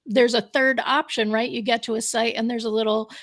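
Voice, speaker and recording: A woman co-hosting a live talk show, chatting casually with quick asides and frequent fillers. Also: on the high side, steady tone. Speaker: co-host.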